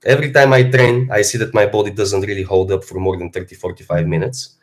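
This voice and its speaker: A man, hoarse from a cold, talking bluntly and philosophically in a casual livestream monologue as a fitness streamer. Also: lowish voice, steady tone, quick pace. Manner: blunt, philosophizing, swears freely.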